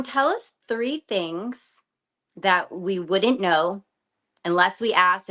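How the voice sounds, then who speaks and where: A woman's voice: on the low side, bright, forceful tone. A woman hosting a candid, slangy interview chat. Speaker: podcast host.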